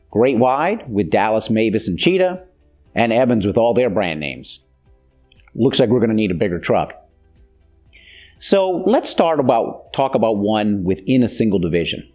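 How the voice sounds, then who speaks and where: A man's voice: mid-range voice, a little mellow. An American man in his fifties giving a plain-spoken, instructional explanation as the host of a training video. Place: indoor video studio.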